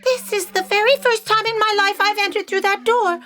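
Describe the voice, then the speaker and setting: A woman's voice: extremely high pitch, fairly even intonation, moderate pace, pushed and bright, soft background music. A woman voicing a bewildered teenage female alien intern in an audio comedy, indignant and full of questions. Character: bewildered eighteen-year-old female tentacled alien bookish intern. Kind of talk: scripted audio comedy acting.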